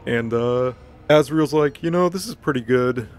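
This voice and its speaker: A man co-hosting a casual comedic story recap, narrating playfully with a creaky voice and slipping into dialogue. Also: mid-range voice, moderate pace, a little hiss or hum.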